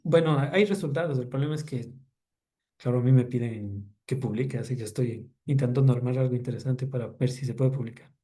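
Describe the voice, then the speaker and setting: A man with an Ecuadorian accent and a mellow, dark-edged voice of ordinary pitch. He answers questions about his research in an academic seminar. His delivery is informal and explanatory. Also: brisk. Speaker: doctoral student presenting research.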